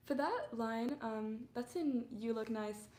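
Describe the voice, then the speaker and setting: A woman's voice: on the high side, animated, takes it slowly, darkish tone, echoey room, a little hiss or hum. A young woman from England with an English and Canadian mixed accent, chatting casually and giggling on a music livestream, her voice a little under the weather. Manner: chatty, giggly, lots of fillers. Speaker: livestreaming singer-songwriter.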